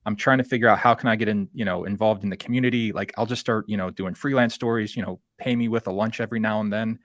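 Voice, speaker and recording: A man's voice: lowish voice, steady tone, fast, no room sound, clean studio sound. A man hosting a casual podcast interview in a conversational, roundabout, storytelling way. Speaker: podcast host.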